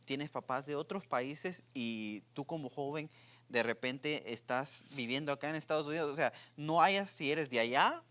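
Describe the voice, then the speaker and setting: A man from Guatemala hosting a youth faith podcast, talking through ideas with many pauses and restarts. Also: a little high, bright, forceful tone. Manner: hesitant, many fillers and restarts. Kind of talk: casual faith discussion.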